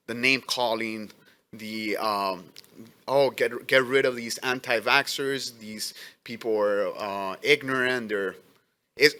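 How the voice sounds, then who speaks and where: A man's voice: fairly low pitch, pushed and bright. Earnest immigrant man testifying. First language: not English. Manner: earnest, halting, many restarts. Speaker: letter carrier giving testimony. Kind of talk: testimony at a public hearing.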